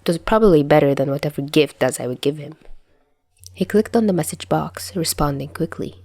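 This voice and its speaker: A woman's voice: low voice, expressive, fairly quick, mellow, dry recording. A woman narrating written fiction in a scripted audiobook style. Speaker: narrator reading fan fiction.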